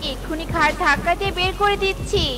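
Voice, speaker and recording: Woman as nagging crow wife, very high voice, insistent, scolding, cartoon, clear background music. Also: fast talker. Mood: angry, insistent. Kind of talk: children's cartoon dubbing. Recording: loud music behind.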